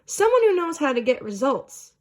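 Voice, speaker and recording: A woman voicing excitable schoolkids and boys on a football team in a storybook, with exclamatory, gossipy talk. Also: sits high, normal speed. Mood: eager, gossipy.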